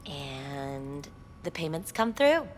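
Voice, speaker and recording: A woman playing a poised, enigmatic receptionist at a futuristic club in a video game, with formal, measured, coy delivery. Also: low voice, sing-song, slight background noise, heavily acted. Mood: composed, businesslike. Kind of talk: video game voice acting, scripted dialogue.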